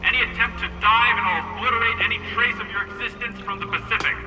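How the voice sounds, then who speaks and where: A distant, echoing villain, a cold gunboat commander giving formal threats through a loudspeaker. His voice is high for a man, with loud music around it. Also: steady tone, fast. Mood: cold menace. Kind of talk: audio drama villain acting.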